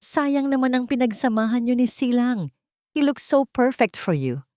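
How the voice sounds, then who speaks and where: Woman doing high, nosy Filipino gossips. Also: big pitch swings, moderate pace, mellow, dry recording, studio quality. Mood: nosy, judging. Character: judgmental gossiping online commenters, nosy and smug.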